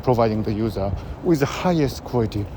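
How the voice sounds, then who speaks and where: Man, Japanese accent, halting, street traffic noise. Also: lowish voice, animated. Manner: halting, many uh fillers and pauses. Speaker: host. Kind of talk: casual spoken commentary. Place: outdoors in a city.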